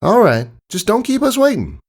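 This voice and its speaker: A man's voice: high-pitched, wildly swooping pitch, normal speed, slightly rough, voice pushed up, full character voice. A man voicing an evasive, slightly bossy young girl pony in a storybook reading, cagey and coaxing. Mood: relieved. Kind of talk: audiobook character voice.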